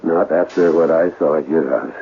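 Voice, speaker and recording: An actor playing a shaken investigator of haunted houses, grave and measured, in an old-time radio drama. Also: male voice, rumbling low. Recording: muffled, faintly noisy old radio broadcast.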